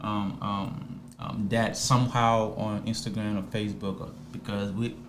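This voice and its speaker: Low-voiced man, casual, in an echoey room. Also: level tone, a little slow, slight background noise. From Liberia. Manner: hesitant, many ums and restarts. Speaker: interview guest. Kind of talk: casual interview chat.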